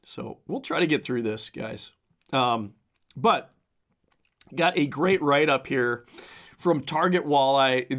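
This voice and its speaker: A man with a hoarse, raspy, strained voice hosts a casual podcast on his own. He rambles loosely with plenty of fillers and reads passages aloud in an easygoing, mid-pitched tone. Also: fairly bright tone. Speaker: podcast host. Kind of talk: casual solo podcast commentary.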